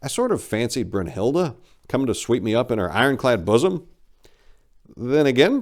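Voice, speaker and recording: A man performing a short story as a wry, rambling, incredulous accident survivor, animated and emphatic in a conversational way. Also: medium pitch, voice pushed up, close to an everyday voice. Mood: wry disbelief. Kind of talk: dramatic reading of a short story.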